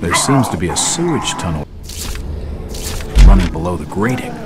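A terse game investigator. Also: male voice, low voice, big pitch swings, normal speed, over loud music. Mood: flat. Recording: game audio under loud noise.

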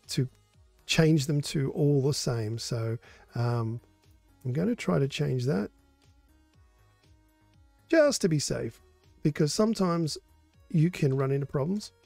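An older man hosting a relaxed live modding tutorial, explaining code in a hoarse, coughing voice. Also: on the high side, unhurried, pauses often, dry recording, faint music behind. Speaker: modding stream host.

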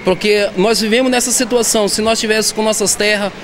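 A man, being interviewed as an artisan. He speaks haltingly and often repeats or restarts words. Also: high voice, fairly even intonation, a bit bright. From southern Bahia, Brazil. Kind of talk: street interview. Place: indoor shopping mall. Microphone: handheld reporter mic. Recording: noisy mall background.

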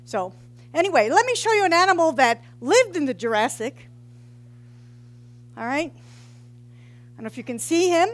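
A woman's voice: high voice, very animated, moderate pace, pauses often. A middle-aged woman, a geology professor, giving an informal public science talk into a headset mic in a large hall, joking and quizzing the audience. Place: large hall with brick walls.

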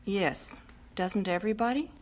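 A woman's voice: mid-range voice, moderate pace, a little mellow, lightly acted. An actress playing an earnest, restless young schoolteacher in her twenties in a radio drama. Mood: frustrated. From Canada.

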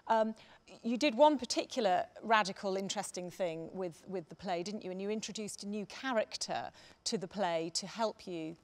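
A middle-aged woman hosting a panel discussion on a theatre stage, putting questions as chair. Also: medium pitch.

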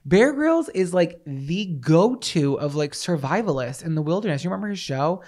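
A man's voice: a little high, very animated, normal speed, a little mellow. An American man in his thirties from Massachusetts chatting casually and playfully as a podcast co-host into a close handheld microphone. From Massachusetts, United States. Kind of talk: casual comedic podcast banter. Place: small decorated indoor podcast set. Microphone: handheld microphone held close.

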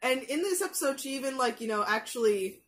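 A woman's voice: sits high, expressive, fairly bright tone. A young woman in a small bedroom chatting casually about an episode she is watching, full of fillers and restarts. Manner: chatty, many fillers and restarts, quick asides. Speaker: anime reaction youtuber. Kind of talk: casual rambling commentary. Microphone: gaming headset mic.

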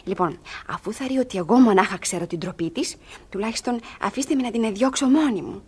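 An actress playing a pleading, scheming young ward in a classic comedy for radio theatre, speaking dramatically. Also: female voice, medium pitch, darkish tone, lightly acted. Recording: husky-sounding archive radio theatre recording.

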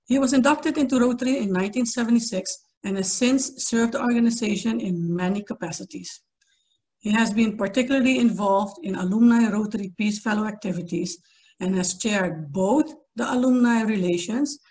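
A woman's voice: mid-range voice, darkish tone, low-quality recording. A woman reading a speaker's introduction aloud on a video call from a small room. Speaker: meeting introducer.

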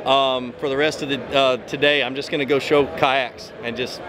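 30s guy vlogging on a trade show floor, upbeat and rambly, mid pitch, camera mic up close, loud crowd noise all around. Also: little pitch movement, normal speed. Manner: upbeat and chatty, rambling with frequent restarts. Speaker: fishing vlogger. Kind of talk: casual walk-and-talk product tour. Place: large indoor trade show hall. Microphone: camera mic held close while walking. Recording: loud crowded expo hall background noise. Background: loud crowd chatter of a busy convention floor.

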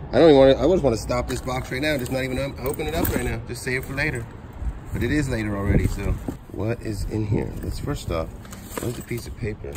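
A man in his forties, chatty and excited, talking to viewers while unboxing outdoors beside storage units. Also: lowish voice. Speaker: storage auction reseller. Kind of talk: casual unboxing vlog. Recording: outdoor noise behind voice.

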